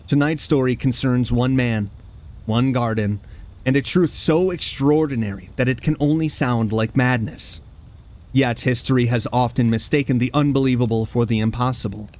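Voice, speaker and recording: A man hosting a horror story show, delivering scripted narration in a measured, solemn and portentous manner. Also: mid-range voice, a little mellow. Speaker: host introducing horror radio plays.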